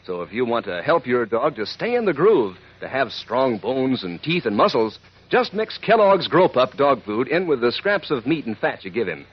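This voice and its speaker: An American man doing a peppy, folksy, emphatic 1940s radio advertising pitch aimed at children. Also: big pitch swings, normal speed, husky. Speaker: commercial announcer. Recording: dull lo-fi 1940s radio recording.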